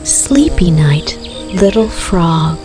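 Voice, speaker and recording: A woman narrating a scripted bedtime story for toddlers in a soothing, gentle, unhurried manner. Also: lowish voice, lively intonation. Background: music with rainforest night sounds of rain, crickets and frogs.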